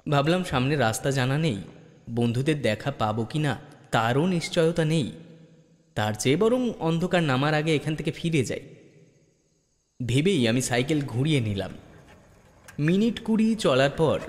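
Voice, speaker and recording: Male ghost story narrator in long reverb. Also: medium pitch. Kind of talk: dramatic first-person ghost story narration. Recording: long reverb with music bed. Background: background music.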